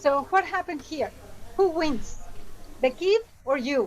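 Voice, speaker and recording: Motivational speaker, woman, Mexican Spanish accent, high voice, lively questions to the audience, video call. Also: normal speed, low-quality recording. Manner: animated, asks the audience questions. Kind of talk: energetic online workshop talk.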